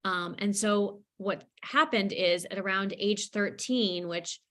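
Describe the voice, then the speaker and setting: Bright, forceful woman storyteller. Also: mid-range voice, takes it slowly. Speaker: guest speaker, author. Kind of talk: personal storytelling in an interview.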